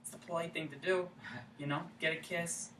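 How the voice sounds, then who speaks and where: A woman's voice: lowish voice, steady tone, fairly quick, a bit bright, close to an everyday voice. A woman acting a persistent, teasing small-town suitor in a staged play reading, insistent, folksy and casual. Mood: placating, pleading. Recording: roomy, distant microphone, faint noise.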